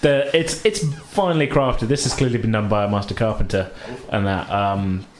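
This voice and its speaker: A man's voice: lowish voice, moderate pace. A man acting as game master, narrating a tabletop game in an informal, conversational way. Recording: roomy echo.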